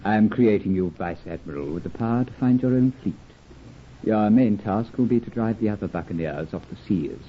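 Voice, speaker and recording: An actor playing a pompous, scheming colonial governor in an old radio drama, formal and authoritative. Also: male voice, fairly low pitch, a little fast, natural voice. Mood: imperious. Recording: muffled phone-like old radio recording, noisy.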